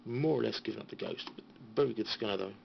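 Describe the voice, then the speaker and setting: A British man giving a casual, halting, understated tour of his hobby equipment, as an enthusiast showing viewers around. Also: fairly low pitch, vocal fry. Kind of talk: casual informal show-and-tell. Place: small radio room at home. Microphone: handheld phone. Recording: dull phone recording with faint noise.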